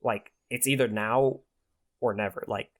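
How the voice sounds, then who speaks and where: A man's voice: mid-range voice, fairly even intonation, measured pace. A twenty-year-old man chatting casually as a podcast guest, earnest and full of slang. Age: 20 years old.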